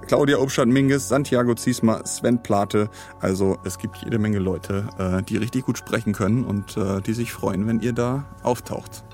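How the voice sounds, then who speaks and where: A man with a fairly low voice co-hosts a podcast and runs casually through announcements. He banters in a dry, teasing way. Light music plays behind him. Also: a little mellow. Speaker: podcast co-host. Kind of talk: casual chat, announcing news.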